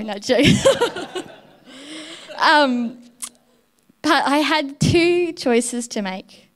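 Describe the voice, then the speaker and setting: A woman with a fairly high, smooth, clear voice preaches a sermon. She speaks candidly and warmly, weaving a personal story into her message from the front of a church.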